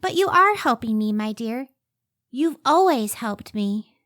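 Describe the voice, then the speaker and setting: A woman's voice: on the high side, expressive, a little slow, fairly bright tone, no room sound, studio quality. A woman playing an earnest, modest scientist and devoted wife in an old-time radio drama, careful and emotive. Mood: tender, pleading.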